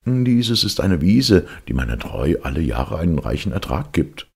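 Eager, courtly young storybook marquis, played by a male reader: husky, pitched up, swooping. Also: very animated, normal speed, darkish tone, heavily acted. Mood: deferential.

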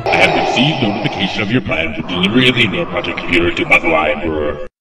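A man playing a menacing, formal armoured Sith lord in a video game cutscene, speaking gravely and deliberately. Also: low voice, expressive, distant mic. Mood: stern disapproval. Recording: echoing voice under loud music.

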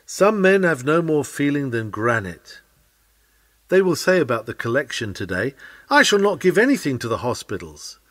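Man reading a sermon, very animated intonation. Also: mid-range voice. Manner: earnest, oratorical, with deliberate phrasing. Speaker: sermon reader. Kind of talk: reading a Victorian sermon aloud.

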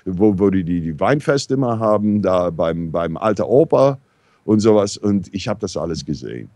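American man telling lively, rambling anecdotes. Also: low-pitched, moderate pace, dry recording. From New York, USA. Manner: rambling, animated, many fillers and restarts. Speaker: talk show regular. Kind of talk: casual storytelling in a discussion.

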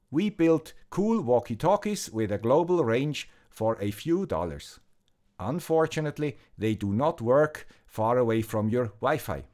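A methodical man with a Swiss German accent walks through a tech build step by step. His pitch rises and falls a lot as he explains. It is a measured, scripted voice-over. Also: a little mellow. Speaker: electronics video host. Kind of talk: scripted tech tutorial narration.

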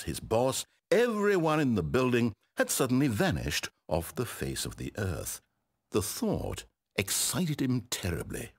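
A man's voice: fairly low pitch, breathy edge, exaggerated voice. A man with a British accent playing a wry, condescending, controlling narrator in a game, eloquent and theatrical. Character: wry, exasperated, controlling male storyteller narrator. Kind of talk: theatrical scripted storybook narration.

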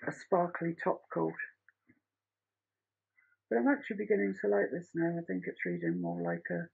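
A woman's voice: mid-range voice, creaky, a little mellow, breathy edge. A middle-aged woman teaching a painting tutorial from her home studio in a chatty, rambling, practical way. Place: home art studio, indoors. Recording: muffled lo-fi home recording.

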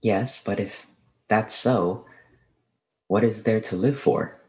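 A man's voice: lowish voice, slow, muffled recording. A man playing a weary, educated survivor in a radio play, asking earnest, doubtful questions. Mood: despairing, questioning. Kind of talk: 1930s-style radio play acting.

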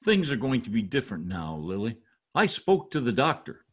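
A man's voice: mid-range voice, wildly swooping pitch, moderate pace, breathy edge. A middle-aged man playing an anxious, pompous, theatrical husband in old-time radio comedy style. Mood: tender. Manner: dramatic, exclaiming. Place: home office, over video call. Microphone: video call computer mic.

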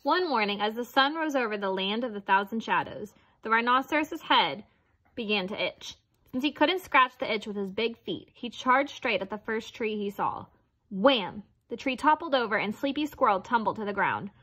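Bright, higher-voiced woman reading a storybook. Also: lively intonation, moderate pace. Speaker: storybook reader. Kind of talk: read-aloud children's picture book narration.

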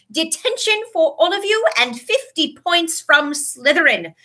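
A woman acts out a stern older female professor from a storybook. She is furious and indignant, with a high, bright voice. Each phrase is a clipped, emphatic scolding. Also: exaggerated voice.

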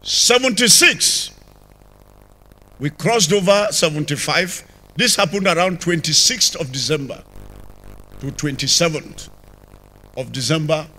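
An older man with a Nigerian accent, telling his conversion testimony as a church apostle, with sudden rises and drops in loudness, on a handheld mic in a large church. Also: high voice. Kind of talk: testimony sermon. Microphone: handheld microphone.

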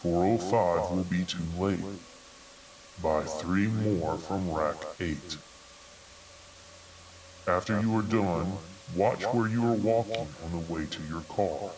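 Man playing a deep, slow entity in the static. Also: crowd noise, low-quality recording. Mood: coaxing, ominous. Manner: deliberate, coaxing, insistent. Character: eerie, coaxing male entity speaking from TV static. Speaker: character in an analog horror video. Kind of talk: slow horror monologue.

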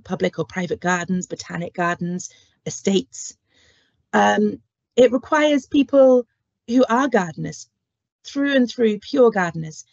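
A woman's voice: mid-range voice, creaky, dry recording. A woman horticulture lecturer giving an online careers talk, speaking through a cold and cough with many ums. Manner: frequent ums, friendly explanatory. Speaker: horticulture lecturer and curriculum lead. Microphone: webcam or headset on video call. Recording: dull lo-fi video call audio.

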